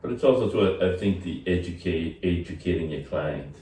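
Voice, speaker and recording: A low-pitched man talks shop in a relaxed, easygoing way, dropping "you know" as he goes. The sound is echoey, with the mic set back from him. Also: brisk, a little hiss or hum. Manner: relaxed, says "you know" and "yeah" often. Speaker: podcast co-host, real estate agent. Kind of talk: casual chat. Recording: roomy sound from a distant mic.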